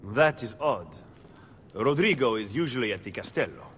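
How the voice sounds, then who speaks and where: Wary, animated male conspirator played by an actor. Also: moderate pace, close to an everyday voice. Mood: suspicious. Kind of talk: dramatic video game acting. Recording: long reverb, rumbling background noise.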